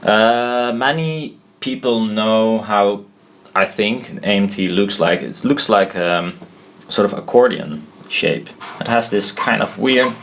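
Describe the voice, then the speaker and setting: Male hobbyist tutor, lowish pitch, very unhurried and halting, informal self-correcting delivery, noisy recording. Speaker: presenter of a hobbyist explainer video. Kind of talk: informal hand-drawn technical tutorial.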